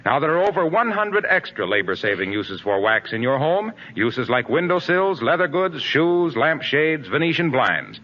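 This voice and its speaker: A male American announcer delivers a polished, persuasive 1940s radio advertisement. His fairly high, husky voice has lively rises and falls. The broadcast sound is muffled. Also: moderate pace, hardly any pauses.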